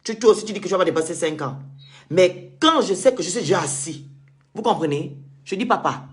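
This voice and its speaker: A man's voice: high voice, expressive, a little fast. An insistent man hosts a call-in debate. He presses his points hard and trips over repeated words as he pushes ahead. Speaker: show host.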